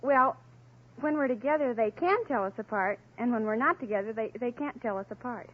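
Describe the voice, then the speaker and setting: Young American woman, husky, on old radio. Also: a little high, brisk. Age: 20s. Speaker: quiz show contestant, college student. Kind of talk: unscripted answers to a host. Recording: muffled vintage radio broadcast.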